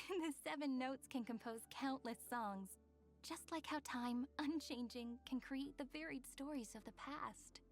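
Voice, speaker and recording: Sweet, dreamy young game girl, high-pitched. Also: measured pace, soft background music. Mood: dreamy, wistful. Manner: sweet, wistful, softly teasing. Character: gentle, playful young girl with a romantic, dreamy temper. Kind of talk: video game character voice lines.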